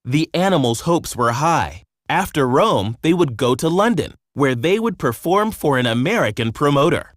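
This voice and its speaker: A man narrating a children's story from a script in an expressive storytelling manner. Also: on the high side, normal speed, hardly any pauses, no echo, studio quality.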